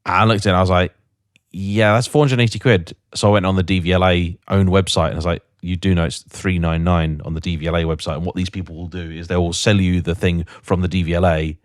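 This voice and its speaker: A man's voice: low-pitched, fairly even intonation, fast talker, dry recording, studio quality. A British man co-hosting a podcast in casual, chatty storytelling style, acting out bits of dialogue. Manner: chatty, quotes imagined dialogue. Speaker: podcast co-host. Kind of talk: casual chat, anecdotal storytelling.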